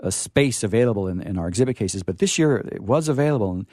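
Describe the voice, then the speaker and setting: A man's voice: lowish voice, expressive, quick pace, a little mellow, no echo, clean studio sound. A man hosting an informal live talk, hesitant and repeating his phrases, with playfully formal turns. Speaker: library staffer hosting a discussion.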